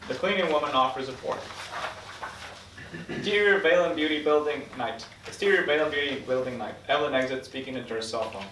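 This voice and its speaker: A man's voice: mid-range voice, fairly quick, fairly bright tone. A man reading screenplay stage directions as scripted narration at a table read. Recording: echoey room from far off, noisy.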